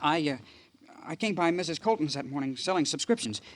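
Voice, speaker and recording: Radio crime drama: frightened salesman played by a man, stammering and guilty, rapid and swinging in pitch, on a faintly noisy old recording. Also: expressive, natural voice. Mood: panicked, guilty. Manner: stammering, panicky, pleading. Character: frightened guilty male magazine salesman confessing under questioning.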